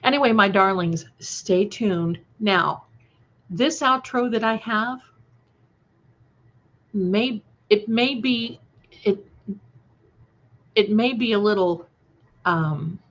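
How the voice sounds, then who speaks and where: A woman hosting a casual livestream, chatting to viewers in an affectionate, effusive and somewhat halting, scattered way. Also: mid-range voice. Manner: affectionate, effusive, addressing listeners with endearments. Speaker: livestream host and creator. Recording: dull, lo-fi livestream audio.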